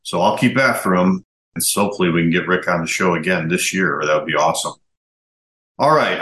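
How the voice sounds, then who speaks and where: A man's voice: low voice, fairly bright tone. A man in his fifties presenting a podcast in a conversational way, introducing guests and asking them questions. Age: 50s. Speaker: podcast host. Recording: echoey room.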